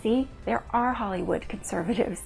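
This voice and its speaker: A woman's voice: medium pitch, moderate pace, creaky, faint music behind. A woman hosting and doing interviews in a chatty, playful way. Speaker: host and interviewer.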